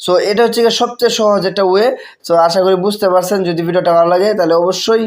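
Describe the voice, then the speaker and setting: A man's voice: high voice, fairly even intonation, racing. A man with a Bangladeshi accent hosting a casual tech tutorial, repeating phrases and mixing in English words. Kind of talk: casual spoken screen-recording tutorial.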